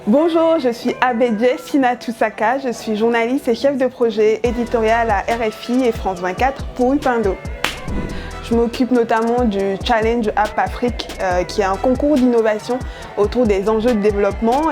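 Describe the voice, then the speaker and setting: A woman's voice: fairly high pitch, normal speed, over music. Earnest young woman, Yoruba native speaker. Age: young adult. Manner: earnest, thoughtful, many hesitant fillers. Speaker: journalist and editorial project lead. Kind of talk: interview monologue about her work.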